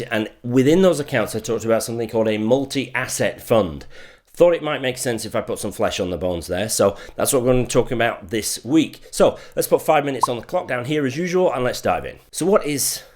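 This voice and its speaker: A man's voice: mid-range voice, brisk. A middle-aged man with a Yorkshire accent, a finance video host chatting casually to camera in an upbeat, explanatory way. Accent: Yorkshire English. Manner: chatty and upbeat, lively intonation.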